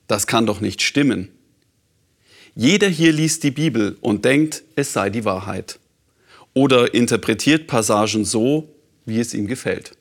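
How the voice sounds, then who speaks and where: A man presenting a program, introducing and reading listener letters in a calm, earnest way. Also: lowish voice.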